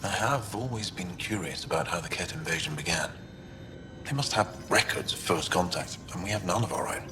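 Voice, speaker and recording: A man's voice: low voice, a little fast, noisy background, lightly acted. An actor playing a learned, courteous male alien chronicler in a video game, speaking formally and eloquently. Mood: courteous, grave.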